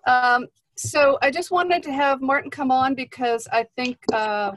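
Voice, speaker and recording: A woman's voice: mid-range voice, no echo. A woman professor hosting a remote class, chatty and friendly, with lots of hesitant fillers. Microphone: video call.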